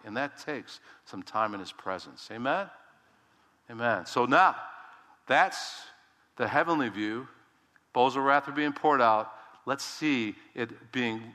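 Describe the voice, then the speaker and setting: A man pastor preaching a sermon in a loud, emphatic voice, swinging between hushed low lines and sudden shouted rises. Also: big-room sound. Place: church sanctuary.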